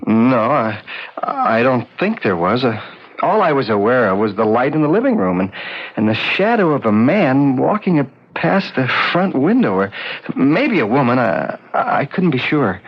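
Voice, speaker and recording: A man playing a troubled, grieving husband in a 1970s radio drama, hesitant and earnest yet stubborn, with halting delivery. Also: medium pitch, sing-song, breathy edge. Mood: hesitant, uneasy. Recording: dull old radio drama recording.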